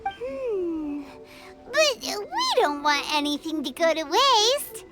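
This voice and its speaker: A woman's voice: slow, over music, exaggerated voice. A woman voicing a tiny, bubbly, food-loving female fairy companion in a video game, speaking of herself in the third person. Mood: eager. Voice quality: high squeaky childlike voice, talks of herself in third person. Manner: bouncy, excitable, whiny.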